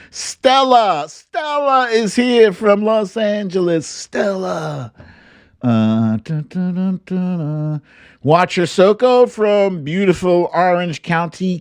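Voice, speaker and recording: Male livestream host, casual chat, playful sing-song delivery, very lively intonation, dry close sound. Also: on the high side, dry recording. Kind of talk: casual livestream chat, reading out viewer greetings.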